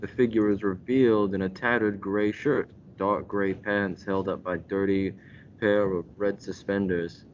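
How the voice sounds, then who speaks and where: A young man playing an ominous, melodramatic announcer, narrating a radio mystery in a deliberate, dramatic way. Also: steady tone, close to an everyday voice. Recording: dull lo-fi recording with rumbling noise.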